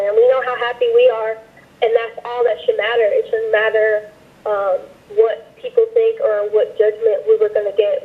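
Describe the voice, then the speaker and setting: A woman in her twenties from Georgia talks over a tinny phone line. Her voice sits fairly high, and she chats loosely, leaning on "like" and at times sounding moved. It suits a casual interview guest. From Georgia, USA. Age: 20s. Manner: chatty, heavy on "like", emotional at times. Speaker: interview guest, pro wrestler.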